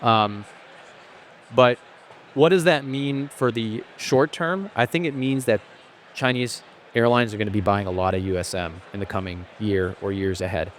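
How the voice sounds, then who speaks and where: A man's voice: fairly low pitch, normal speed, slight background noise. A man who grew up in Asia, a guest on a podcast, talking at length in a casual, thoughtful way with frequent restarts. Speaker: podcast guest, company founder. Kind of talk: casual interview conversation.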